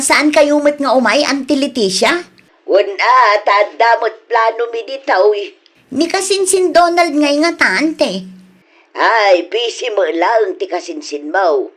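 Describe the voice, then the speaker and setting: A woman playing an earnest, expressive family mother in a radio drama, with an Ilocano accent. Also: high-pitched, heavily acted. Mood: hopeful.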